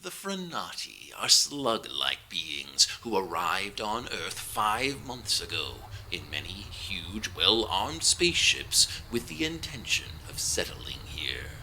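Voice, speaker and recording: A man narrating an audio drama anthology in a deliberate, theatrical style from a script. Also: sits low, sing-song, pushed and bright, a little hiss or hum, rough recording. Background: music.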